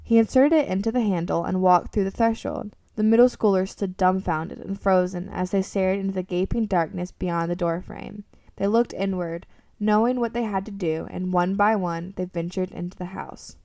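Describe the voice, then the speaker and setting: A woman's voice: mid-range voice, steady tone, normal speed, warm tone, clean studio sound. A woman reading scripted story narration for an amateur radio drama, recorded in a room a little away from the microphone. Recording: roomy, slightly distant microphone.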